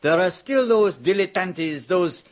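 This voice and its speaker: An actor playing a boastful count who collects art, with a gushing, theatrical manner. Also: male voice, sits high, lively intonation, measured pace. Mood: indignant. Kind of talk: 1970s radio drama acting. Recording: old radio drama recording.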